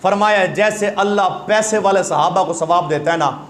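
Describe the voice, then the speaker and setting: A man's voice: sits high, moderate pace. A male preacher with a sore throat, giving an impassioned sermon that breaks into recited verse. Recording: echoey hall.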